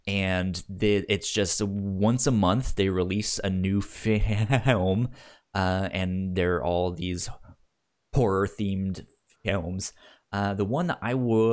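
Guy podcast host, kinda low voice, takes his time, stumbles and restarts words, just chatting. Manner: halting, often repeats word starts. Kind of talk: casual podcast chat.

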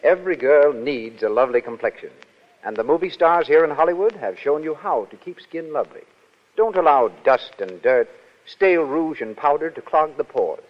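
A man's voice: a little high, expressive, normal speed. A man reading advertising copy as a 1930s radio announcer. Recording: muffled 1930s radio broadcast, hall reverb.

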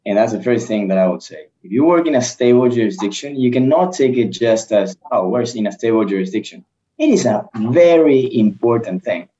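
A man's voice: mid-range voice, expressive, brisk, warm tone, muffled recording. A man from Peru speaking on a panel as a mining executive, earnest and emphatic. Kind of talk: panel discussion.

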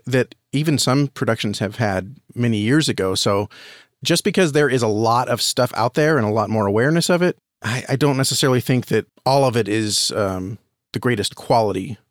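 A man speaking as a podcast guest, giving measured, thoughtful answers in a casual interview. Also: medium pitch, dry recording, clean studio sound.

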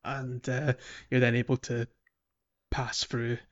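A man hosting a podcast, talking casually and haltingly through a video game walkthrough, thinking aloud as he goes. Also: medium pitch, level tone, dull audio. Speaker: podcast host.

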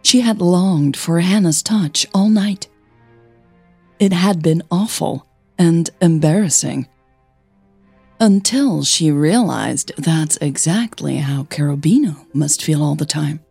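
A woman podcast host reading scripted fiction as narrator, in a measured storytelling manner. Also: deep voice, vocal fry, darkish tone, breathy edge, no room sound, soft background music.

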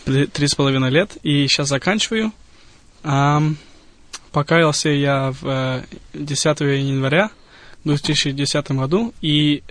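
A man in his twenties from Moldova, a student guest giving casual, halting answers in a radio interview. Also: mid-range voice, fairly bright tone. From Moldova, later United States. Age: 20s. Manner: halting, hesitant delivery. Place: radio studio.

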